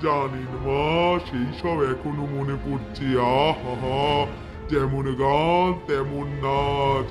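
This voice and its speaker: A man's voice: medium pitch, flat delivery, slow pace, over music, natural voice. A man voicing an awestruck, sentimental ghost son-in-law in a cartoon, speaking in a wistful, admiring way. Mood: wistful awe. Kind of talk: animated cartoon dialogue.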